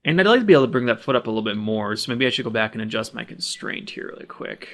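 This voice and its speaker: A man narrating a software tutorial in a plain, instructional way, explaining each step as he does it. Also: mid-range voice, lively intonation, rapid-fire. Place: voice-over of a screen recording.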